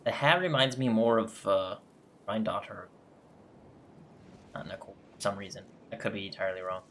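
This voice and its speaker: A man's voice: on the low side, normal speed, fairly bright tone, slight background noise. A man giving casual game playthrough commentary as host, musing aloud and reading out choices. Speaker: gaming video host. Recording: game music behind voice.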